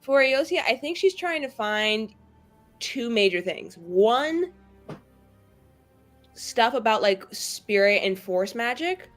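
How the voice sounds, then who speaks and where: A woman's voice: a little high, big pitch swings, takes it slowly, long pauses, soft background music. A woman playing a tabletop roleplaying game, improvising in casual chat with a joking, sarcastic manner.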